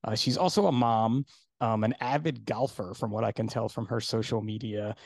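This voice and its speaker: A middle-aged man from New Jersey hosting a podcast in casual chat, hoarse today and rambling with fillers. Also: on the low side, fairly even intonation, normal speed, darkish tone, no room sound, clean studio sound. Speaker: podcast host.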